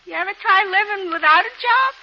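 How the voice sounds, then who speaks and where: An actress playing a practical, wisecracking working woman, sardonic and brisk, in a 1950s radio comedy-drama. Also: very high-pitched, slight background noise. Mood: dry, teasing. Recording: dull old radio recording.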